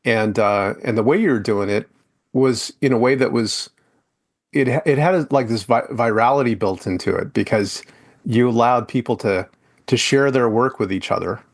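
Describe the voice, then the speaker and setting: A man's voice: mid-range voice, moderate pace. A man hosting a podcast interview, talking loosely with lots of fillers and restarts. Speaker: podcast host. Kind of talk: casual interview chat.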